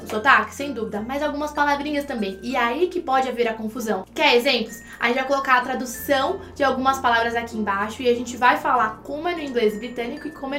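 Bright, high-pitched Brazilian woman teacher. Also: echoey room. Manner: explanatory, step-by-step with examples. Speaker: English teacher and co-host. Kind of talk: conversational language lesson for online video.

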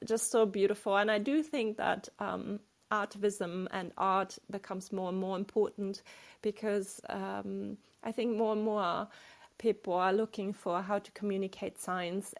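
A woman's voice: mid-range voice, creaky. A woman hosting an online artists' panel over a video call, full of effusive praise for her guests. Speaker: chat moderator.

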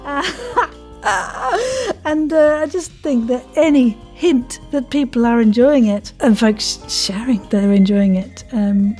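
A woman podcast host with a fairly high voice talks in an effusive, chatty style. She is playful with her words and addresses guests and listeners directly. Music sits lightly behind her. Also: dark tone, rough recording. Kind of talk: chatty interview and sign-off to listeners.

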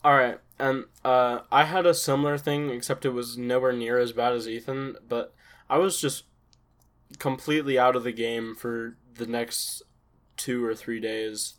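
A man's voice: mid-range voice, fairly even intonation, a little slow. Laid-back teenage boy on a glitchy call. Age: teens. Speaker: podcast co-host. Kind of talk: casual storytelling chat. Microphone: video call. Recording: dropping internet connection.

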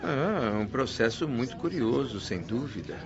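An earnest, curious Brazilian newcomer, muffled. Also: male voice, mid-range voice, sing-song, measured pace, crowd noise. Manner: inquisitive, reflective, formal. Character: André, curious, earnest, eager male newcomer to spirit world. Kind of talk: Brazilian radio drama acting and narration.

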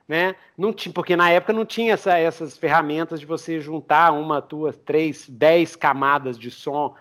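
A Brazilian man hosts a casual interview. His voice is notably high for a man, and his way of talking is informal and full of slang. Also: moderate pace, fairly bright tone. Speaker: podcast host.

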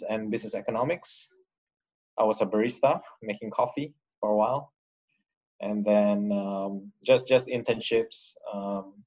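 A man's voice: on the low side, a little mellow, muffled recording. A man from Indonesia, a startup founder, answering interview questions casually with frequent restarts and fillers.

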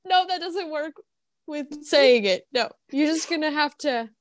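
A woman's voice: high voice, animated, a little slow. A woman chatting casually on a podcast panel in a playful, teasing way. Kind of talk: casual chat.

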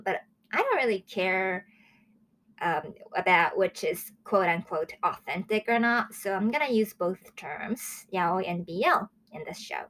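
Animated young woman from Japan chatting. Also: medium pitch, a little slow, rough recording. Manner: excitable, chatty, exclamatory. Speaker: host of a manga review show. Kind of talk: casual solo review chat.

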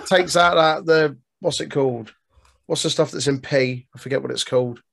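A man's voice: a little high, moderate pace, creaky, a bit bright. A man co-hosting a podcast in casual chat, rambling and restarting sentences, swearing and laughing often. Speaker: podcast co-host.

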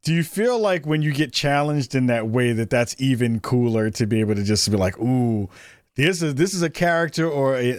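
A man's voice: mid-range voice, no room sound, studio quality. Enthusiastic man hosting an interview. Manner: enthusiastic, asks long rambling questions. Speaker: podcast host. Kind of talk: conversational interview.

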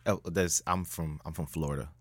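A man telling a story as a podcast guest, animated, jumping up in pitch when he jokes. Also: brisk, no room sound, clean studio sound. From United States. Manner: animated, rises sharply in pitch when joking. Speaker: guest, public speaker.

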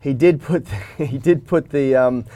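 A man hosting a casual live-stream chat, hoarse from a flu, talking energetically with frequent restarts. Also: medium pitch, a little slow, warm tone. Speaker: podcast host and gym owner.

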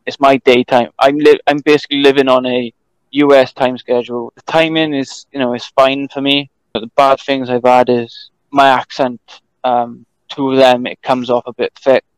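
Smooth, flat-toned Welsh man. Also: medium pitch, no room sound, poor audio. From Wales. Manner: calm, measured, holding back swearing. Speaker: podcast guest. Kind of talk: casual chat.

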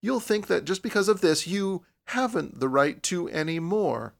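A man's voice: high-pitched, expressive, normal speed, vocal fry, pitched above the performer's own voice. Man as a proud, light-voiced young woman. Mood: scornful. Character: proud young woman writer, cool, quietly desperate. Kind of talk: audiobook character voice.

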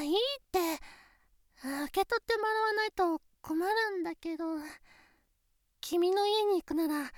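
A woman's voice: very high-pitched, no echo. A voice actress playing a shy, sweet teenage girlfriend who stammers when flustered, in a close-miked situation voice drama.

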